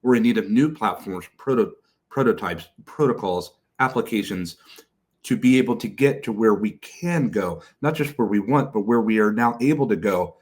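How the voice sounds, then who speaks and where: Low-voiced man moderating a panel, emphatic and rhetorical. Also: expressive. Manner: emphatic, rhetorical, quick to hand off.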